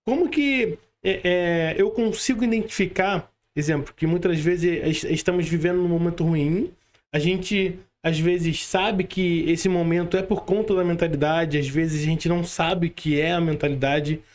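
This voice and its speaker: A man with a Brazilian accent and a high-pitched voice. He interviews conversationally as a podcast host. His delivery is halting, with repeated words and frequent tag questions. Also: fairly even intonation. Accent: Brazilian Portuguese. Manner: halting, repetitive, frequent "né" tags.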